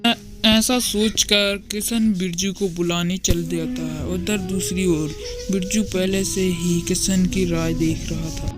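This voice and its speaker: A man's voice: sits high, steady tone, a little slow, music in the background. A man narrating a moral story as the storyteller.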